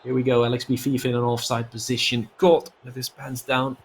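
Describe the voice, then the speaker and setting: A man's voice: mid-range voice, level tone, brisk, slight background noise. A man giving live play-by-play commentary on a football video game as an esports commentator.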